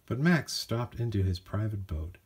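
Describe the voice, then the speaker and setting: A man with a husky voice narrating a children's storybook from a script. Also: lowish voice, lively intonation, normal speed. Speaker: storybook reader.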